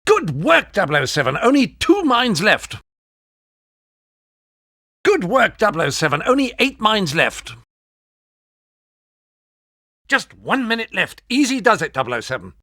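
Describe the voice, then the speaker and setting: Fussy, high-pitched British gadget master. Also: male voice, wildly swooping pitch, moderate pace, pushed and bright, no echo, clean studio sound, full character voice. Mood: brisk urging. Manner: fussy, scolding, exasperated. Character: fussy, exasperated male spy gadget quartermaster, pompous British. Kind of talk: video game voice acting.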